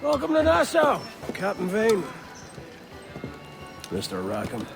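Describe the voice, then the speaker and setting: A grand, boisterous pirate captain for a game, theatrical and slow, his pitch swinging widely. Loud music plays behind. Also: wildly swooping pitch, creaky, exaggerated voice. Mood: grand, welcoming. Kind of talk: video game cutscene acting.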